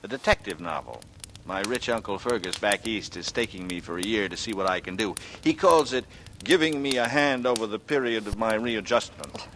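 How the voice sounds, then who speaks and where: An actor playing a secretive, coolly sardonic young ex-pilot in a 1940s radio drama, with an American accent and a measured, brooding delivery. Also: male voice, lowish voice, expressive, noisy background, close to an everyday voice. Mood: easy, wry. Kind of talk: 1940s radio drama acting, first-person noir narration and dialogue. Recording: vintage radio recording with prominent music.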